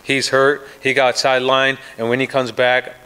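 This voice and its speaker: A man's voice: medium pitch, level tone, moderate pace, bright, forceful tone, clean tone. A man, a pro fighter, answering reporters at a press day, confident and full of restarts and "you know"s. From United States. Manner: confident, trash-talking, many restarts and fillers.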